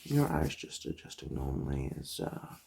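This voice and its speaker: Husky, creaky ASMR whisperer. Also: rumbling low, very animated, darkish tone. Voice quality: breathy, husky, often whispered. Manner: unhurried, murmuring, chatty asides in a polite clinical patter. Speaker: ASMR performer role-playing an eye examiner. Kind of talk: ASMR medical role-play, soft speech and whispering.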